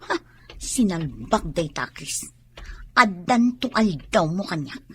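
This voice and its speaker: A woman's voice: fairly low pitch, sing-song, takes it slowly, slightly rough, exaggerated voice. An actress with an Ilocano accent playing a disdainful, grumbling young woman in a radio drama. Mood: annoyed, scornful.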